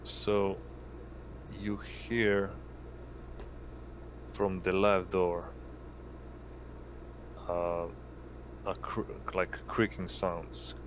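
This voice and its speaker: Deep voiced guy running an rpg online, slow and halting, bit of noise behind him. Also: flat delivery. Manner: halting, searching for words. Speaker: game master. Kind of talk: improvised tabletop game narration.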